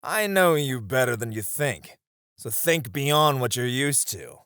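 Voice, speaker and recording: Man acting as a lively, teasing knight. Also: mid-range voice, unhurried, clean tone, dry recording, studio quality. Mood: spirited, challenging. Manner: playful teasing with frequent chuckles. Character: confident teasing male knight, old comrade and sparring partner. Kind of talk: ASMR romance audio roleplay, one-sided dialogue.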